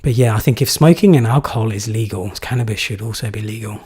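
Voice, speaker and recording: Young english guy chatting on a live q&a, bit husky, lots of ums and restarts. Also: lowish voice, fast, a little mellow. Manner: casual, rambling, many fillers and restarts. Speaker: YouTube creator answering viewer questions. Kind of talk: live Q&A chat.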